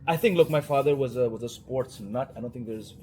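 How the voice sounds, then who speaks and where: A man with an Indian accent talks easily as an interview guest, wry and chatty, often restarting his sentences. His voice sits at an ordinary middle pitch. A clear hum of background noise runs under the recording. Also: a little fast, darkish tone. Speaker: cricket journalist, guest. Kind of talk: casual interview chat.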